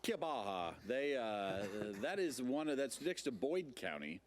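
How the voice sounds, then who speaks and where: Lively-voiced man hosting a TV show. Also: medium pitch, slight background noise. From Nebraska. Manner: lively, jokey, quick to laugh. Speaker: TV show host. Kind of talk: casual on-air chat and membership pitch.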